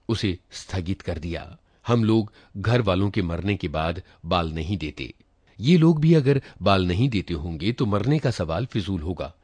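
A man narrating a literary short story in the first person, in an expressive, reflective reading style. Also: low voice, dry recording. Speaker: story reader.

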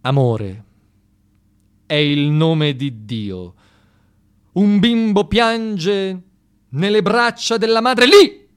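A man playing a pompous amateur poet in a radio stage satire, declaiming flowery verse in a drawn-out, mock-solemn, overblown way. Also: high-pitched, expressive, smooth, no room sound, studio quality, pitched above the performer's own voice, heavily acted. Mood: drawn-out reverence. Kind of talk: mock-solemn poetry recital.

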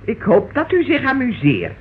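A woman's voice: high-pitched, huge pitch swings, normal speed. An actress playing a sarcastic, indignant woman in a radio drama, sparring defiantly with a police inspector. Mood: scornful. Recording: dull, noisy old radio recording.

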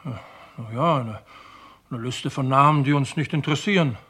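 An actor playing an eager, talkative police sergeant in a crime radio play, keen and slightly cheeky. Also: male voice, medium pitch, moderate pace, a bit bright, breathy edge. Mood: deflated.

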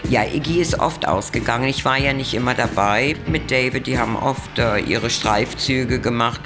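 A woman's voice: a bass voice, moderate pace, over music. An older woman reminiscing fondly and with amusement in a casual interview, sometimes slipping into English.

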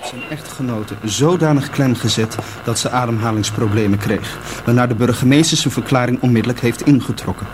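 An actor playing a serious male news reader in a radio play, formal and deadpan. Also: mid-range voice, fairly quick, close to an everyday voice. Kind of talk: scripted news reading. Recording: clear background noise, vehicle ambience.

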